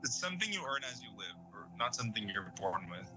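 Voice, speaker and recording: An actor playing an amiable, goofy young slacker in an audio drama, hesitant and rambling. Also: male voice, lowish voice, big pitch swings, fast talker. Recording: music behind the voice.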